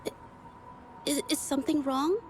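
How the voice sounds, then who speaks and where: An actress playing a shy but resolute young female painter who speaks earnestly and haltingly. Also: sits high, steady tone, darkish tone, soft background music, close to an everyday voice. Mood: determined. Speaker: accuser in court.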